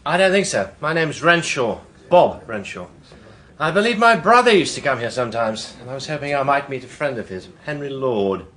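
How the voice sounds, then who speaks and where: An actor playing a polite, self-possessed gentleman in a radio drama, measured and well-spoken. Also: medium pitch, very animated. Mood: polite, probing. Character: self-possessed gentleman posing as a visiting businessman.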